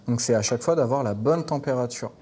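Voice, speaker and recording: A man's voice: medium pitch, fast talker, warm tone. A man from Normandy talks through a recipe like a chef at work. His delivery is casual and a little hesitant as he explains each step. There is some noise around him in the kitchen. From Normandy, France. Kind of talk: cooking demonstration with casual chat.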